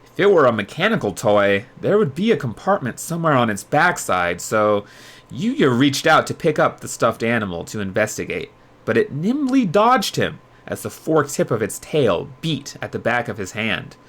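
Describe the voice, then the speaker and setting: A man hosting a livestream readalong, moving between expressive storybook narration and casual chat with viewers. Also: mid-range voice, moderate pace. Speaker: streamer host reading aloud.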